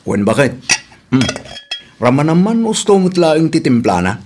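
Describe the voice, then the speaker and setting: A man's voice: fairly high pitch, very animated, moderate pace, noisy background. A man playing a humble, good-natured village husband and father in an Ilocano comedy radio drama, with an Ilocano Filipino accent. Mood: easygoing.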